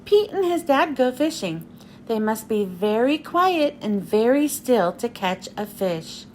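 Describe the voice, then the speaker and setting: A woman hosting a children's read-aloud, telling a picture-book story in an expressive, deliberate way. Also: high voice, slight background noise.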